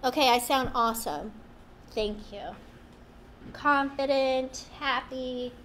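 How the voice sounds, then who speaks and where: A young woman leads a live-streamed craft demonstration. Her voice sits high and girlish, and she chats in a playful way and teases herself. A faint hiss runs under the small indoor studio sound. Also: takes it slowly, fairly bright tone. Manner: chatty, playful, self-teasing. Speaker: craft demo host. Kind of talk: live-streamed product demo.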